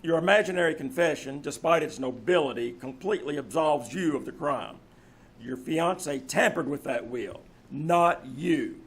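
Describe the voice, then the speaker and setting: Guy as husky police inspector in old radio mystery, slightly high voice, formal and measured. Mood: confidently accusing. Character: polite, methodical male police inspector, confidently accusing. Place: live on a theater stage.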